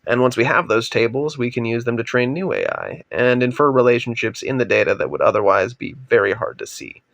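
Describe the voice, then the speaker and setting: A young man with a voice raspy from a cold, steadily narrating a slide presentation on his undergraduate research project. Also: mid-range voice, a little fast, no room sound. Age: young adult. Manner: steady, explanatory, trails off at sentence ends.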